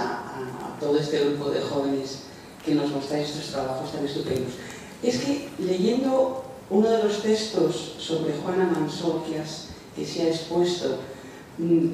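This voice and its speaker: Echoey, mellow-voiced woman from a distance. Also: sits low. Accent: Spain. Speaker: audience member making a suggestion at an academic panel. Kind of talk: spontaneous public comment from the floor. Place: large echoey indoor conference room. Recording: echoey room, distant mic, faint noise.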